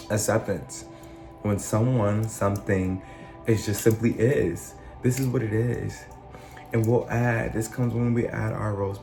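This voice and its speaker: Low gravelly man musing earnestly. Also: a little mellow, echoey room. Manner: earnest, musing, repeats and restarts phrases. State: recovering from illness, voice still rough. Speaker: channel host. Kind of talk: casual reflective monologue.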